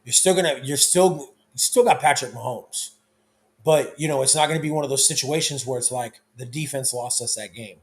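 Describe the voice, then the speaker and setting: A hoarse young man hosting a casual football talk show, talking loosely with lots of restarts. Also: mid-range voice, a little fast. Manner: loose, conversational, with many restarts. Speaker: sports talk show host.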